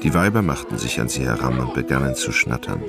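A man narrating a dark literary radio drama as a grave, measured storyteller. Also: extremely low pitch, lively intonation, vocal fry, full character voice. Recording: faint music behind the voice.

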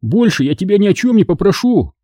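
A man reading a storybook as an excitable, indignant boy who pleads urgently. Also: sits high, moderate pace, husky, dry recording, clean studio sound, pitched above the performer's own voice, full character voice. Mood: pleading.

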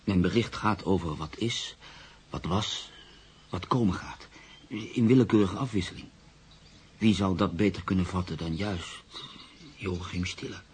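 An actor playing a reflective, skeptical journalist in a radio play, telling his own story in a measured, literary manner. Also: low voice, a little hiss or hum. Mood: earnest. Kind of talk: radio play, first-person narration and dialogue.

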